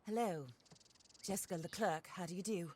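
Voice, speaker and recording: Lady doing a low-voiced rich widow out for revenge, very proper and polished. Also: moderate pace, a little mellow, close to an everyday voice. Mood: calm, composed. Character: wealthy genteel widow bent on revenge. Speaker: patron hiring outlaws. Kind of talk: video game cutscene acting.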